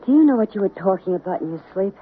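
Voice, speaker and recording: A woman's voice: medium pitch, lively intonation, racing, natural voice. Woman acting a hard-nosed scheming wife, tinny radio. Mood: firm, uneasy. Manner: sharp, commanding. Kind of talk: old-time radio drama acting. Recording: tinny, noisy old radio broadcast.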